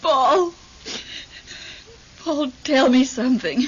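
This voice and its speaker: An actress in an old radio drama playing a grief-stricken woman, plaintive and halting in her longing. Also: high voice, animated, slightly rough, heavily acted. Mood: tearful, yearning. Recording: muffled old radio broadcast, faint noise.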